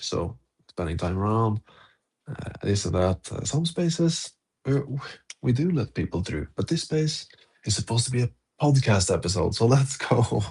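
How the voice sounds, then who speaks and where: A man's voice: mid-range voice, a little mellow, husky. A man hosting a casual live audio-room podcast chat, laid-back and chuckling between phrases. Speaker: podcast host.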